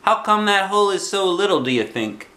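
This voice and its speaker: A man's voice: sits high, very animated, fairly quick, sharp tone, pitched above the performer's own voice, full character voice. A man voicing a dramatic, stubborn young schoolgirl in a children's storybook, with emphatic, childlike delivery. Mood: puzzled. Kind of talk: children's storybook read aloud.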